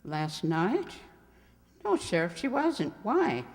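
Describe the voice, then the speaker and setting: A woman's voice: on the low side, very animated, takes it slowly, darkish tone, heavily acted. A woman playing a prim, chatty spinster librarian who scolds genteelly in a radio mystery play. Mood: helpful, curious. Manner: fussy, scolding yet genteel. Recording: reverberant hall sound.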